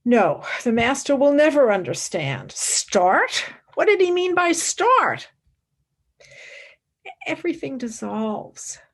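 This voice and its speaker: Woman playing intense young student lady, radio drama, highish voice, slow and very expressive. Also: heavily acted. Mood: grieving, anguished. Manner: dramatic, fervent, deliberate. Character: intense, devoted young female philosophy student, emotionally fervent. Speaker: actor. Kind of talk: radio play acting.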